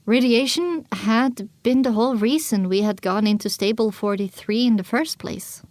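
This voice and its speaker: A woman plays a young filly pony narrating her own journey in a dramatic audiobook. The voice is fairly high and recorded very dry, with a reflective, scripted delivery. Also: moderate pace, darkish tone, no room sound, lightly acted. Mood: descriptive. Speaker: first-person narrator.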